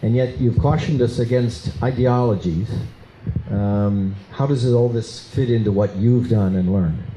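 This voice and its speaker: A man in the audience asks a long, measured question at a conference. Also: mid-range voice, warm tone. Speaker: audience member asking a question. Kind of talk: formal question from the floor. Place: conference hall. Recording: echoey hall with faint room noise.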